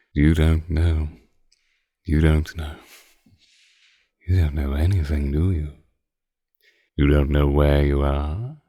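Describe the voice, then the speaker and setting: A man's voice: a bass voice, dark tone, dry recording, clean studio sound, heavily acted. A man playing an ancient, courtly vampire count in an intimate roleplay audio, with formal, archaic phrasing and a teasing, condescending, predatory manner.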